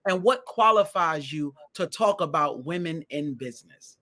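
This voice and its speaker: An enthusiastic man hosting an online interview program with a fairly high voice for a man. He keeps the energy up and leans on repeated phrases to stress his points. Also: expressive, normal speed, sharp tone. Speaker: host.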